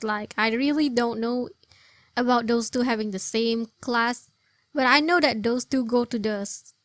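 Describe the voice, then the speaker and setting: A woman giving casual, expressive, rambling reaction commentary over a video game. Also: fairly high pitch, no room sound. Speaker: gameplay streamer, commentator.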